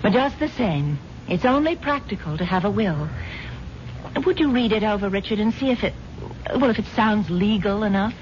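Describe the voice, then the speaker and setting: An actress playing a gullible, earnest wealthy wife who is no longer young, speaking in a genteel, gushing way in a 1970s radio drama. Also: female voice, mid-range voice, animated, normal speed, darkish tone, lightly acted. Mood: sweet, coy. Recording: dull, slightly noisy 1970s radio recording.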